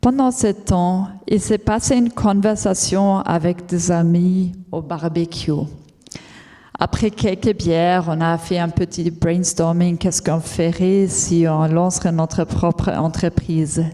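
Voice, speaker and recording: A woman speaks in a language that is not her first, choosing her words slowly and stopping to restart. Her voice is low-pitched and mellow. The sound carries the long reverb of a large lecture hall. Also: fairly even intonation. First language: not French. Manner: careful, halting, with many fillers and restarts. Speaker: entrepreneur giving a conference talk. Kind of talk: personal story told as a talk.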